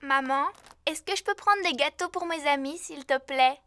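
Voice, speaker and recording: Very high, bright little girl lamb. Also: moderate pace. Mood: polite. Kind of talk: French cartoon dub.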